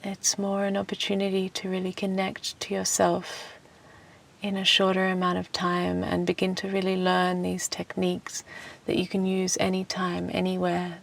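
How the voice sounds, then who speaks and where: A woman leading a guided relaxation meditation in a soft, gentle, soothing voice that turns breathy at times, with unhurried phrases. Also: fairly low pitch. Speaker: meditation teacher and host. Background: music.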